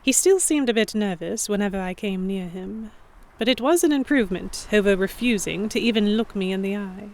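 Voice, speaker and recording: A woman voicing a young noblewoman heroine, telling her own story in an audio drama. She sounds fretful and introspective, tentative when she speaks up, at a middling pitch. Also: brisk, few pauses, a little mellow, a little hiss or hum, natural voice. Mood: wary, reflective. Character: anxious young noblewoman heroine narrating her captivity among pirates. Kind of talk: first-person scripted narration in audio drama.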